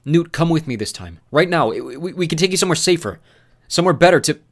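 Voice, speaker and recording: A man's voice: a little high, expressive, a little fast, voice pushed up, natural voice. A man voicing a frightened young man urgently pleading with his friend.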